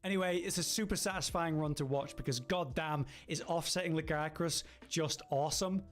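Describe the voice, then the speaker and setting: A man's voice: fairly high pitch, normal speed. A man delivering scripted gameplay ranking commentary as a video narrator.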